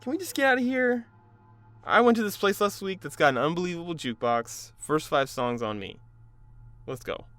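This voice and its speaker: A man's voice: a little high, expressive, moderate pace, fairly bright tone, faint music behind. An actor playing a frustrated young man who pushes his friend to go out, his voice bursting upward when upset. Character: exasperated young male friend, pushy. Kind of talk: audio drama dialogue.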